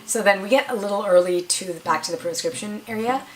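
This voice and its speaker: Raspy young woman vlogger, chatty. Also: mid-range voice, fast, low-quality recording. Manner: chatty, lots of "like" and quoted dialogue. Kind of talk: casual storytelling to camera.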